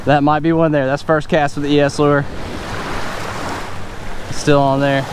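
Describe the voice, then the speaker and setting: A man's voice: a little high, level tone, measured pace. A young adult man casually narrating his own beach fishing, talking to himself between casts, recorded outdoors on a wearable camera. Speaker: fishing vlogger. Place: open beach at the surf line, outdoors. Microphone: wearable action camera. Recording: outdoor wind and surf noise. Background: surf and waves.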